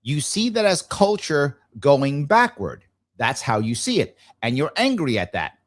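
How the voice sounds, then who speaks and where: A man's voice: a little high, very animated, measured pace. A man hosting a live talk show, giving blunt, argumentative commentary and repeating phrases emphatically to drive points home.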